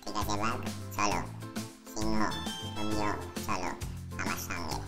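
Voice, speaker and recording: Low, coaxing cartoon bird played by a woman. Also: steady tone, measured pace, over loud music. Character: sensible little bird peacemaking between quarrelling beasts. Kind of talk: children's cartoon voice acting in Bengali fable.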